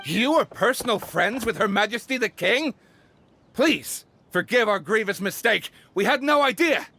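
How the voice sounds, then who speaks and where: An actor playing a pompous, zealous and loyal royal herald in a video game, with a declamatory manner. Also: male voice, high voice, expressive, normal speed, sharp tone, a little hiss or hum, exaggerated voice. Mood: deferential. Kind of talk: English video game voice acting.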